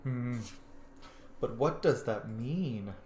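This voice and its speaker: A man hosts a game playthrough with informal, chatty enthusiasm. His mid-pitched voice rings off an echoey room as he comments like a keen fan. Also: expressive, extremely slow, darkish tone, slight background noise. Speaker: gaming host doing a roleplay playthrough. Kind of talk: casual play-by-play commentary with fan lore asides.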